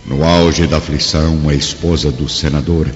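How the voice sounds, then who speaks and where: A man's voice: a bass voice, fairly even intonation, normal speed. A man with a Brazilian Portuguese accent giving grave, dramatic scripted narration for a radio drama. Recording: dull lo-fi, long reverb, music behind.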